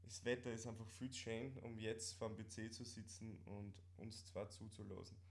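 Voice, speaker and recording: A man's voice: on the low side, fairly even intonation, normal speed, gravelly. A man with an Austrian accent chatting casually as co-host of a live stream, in colloquial dialect and often repeating words.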